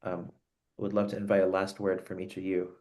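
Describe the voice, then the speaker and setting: A man with a very deep voice hosts a thoughtful conversation over a video call. His talk is earnest and searching, often pausing and restarting as he works toward what he means. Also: monotone, darkish tone. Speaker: host. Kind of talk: reflective conversation.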